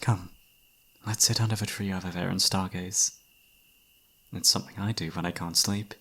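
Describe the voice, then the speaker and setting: Casting: young knight played by a man, slightly deep voice, formal but playful, devoted protector, fantasy romance audio roleplay. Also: fairly even intonation, normal speed, full character voice. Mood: gentle, caring. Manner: formal, courtly, playfully teasing, soft-spoken sighs. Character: young male knight, devoted childhood friend, teasing yet dutiful.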